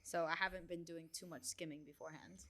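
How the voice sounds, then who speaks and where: A woman's voice: fairly low pitch, steady tone, fast, bright, forceful tone. Playful woman in her late twenties. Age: 20s. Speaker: podcast guest. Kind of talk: casual podcast chat.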